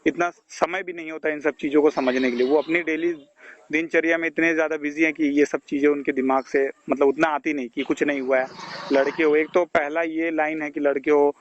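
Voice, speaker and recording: High-voiced Indian man counselling, muffled recording. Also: fairly even intonation, fairly quick. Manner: explanatory, often checks understanding. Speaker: health counsellor advising a caller. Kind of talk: informal phone counselling. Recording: dull, noisy phone call recording.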